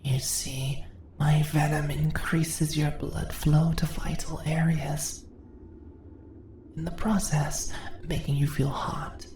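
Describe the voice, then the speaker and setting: A woman voicing a seductive, dominant spider monster in an intimate ASMR roleplay, breathy and often whispering, with teasing, condescending questions. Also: sits low, flat delivery, takes it slowly, a little mellow. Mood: sinister, coaxing. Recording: roomy echo, faint background noise.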